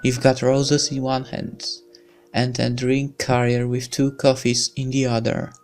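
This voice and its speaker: A let's player slowly reading a visual novel's story aloud in a hushed, theatrical way, with casual asides. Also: medium pitch, little pitch movement, faint music behind. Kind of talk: slow dramatic read-aloud of game text with casual asides.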